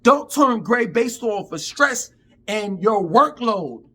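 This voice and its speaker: High-voiced husky man in his fifties. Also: expressive, takes it slowly, pushed and bright. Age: 50s. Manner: emphatic, profane, preachy street talk. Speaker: vlogger. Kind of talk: unscripted rant to camera.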